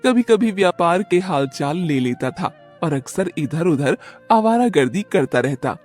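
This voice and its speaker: A woman's voice: fairly low pitch, lively intonation, a little slow, darkish tone, soft background music. A woman reading scripted narration for a storybook tale as its narrator.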